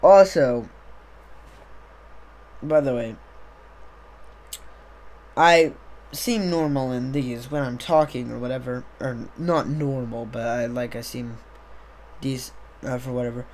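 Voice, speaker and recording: A young man, slightly hoarse, chatting casually on a live stream into a desk mic on a boom arm, rambling with many fillers. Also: fairly even intonation, slow, pauses often, a little mellow, a little hiss or hum. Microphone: desktop USB mic on a boom arm.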